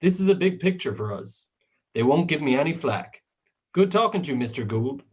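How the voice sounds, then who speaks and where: A man in an amateur radio drama playing a smooth-talking, businesslike Hollywood film director. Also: a little high, sing-song, brisk, a little mellow. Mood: smooth, persuasive. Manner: businesslike, persuasive.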